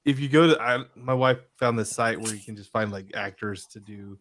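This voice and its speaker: A man's voice: fairly low pitch, brisk, a crowd in the background. A middle-aged man co-hosting a podcast in casual, joking chat, rambling and often repeating his words as he goes. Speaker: podcast co-host.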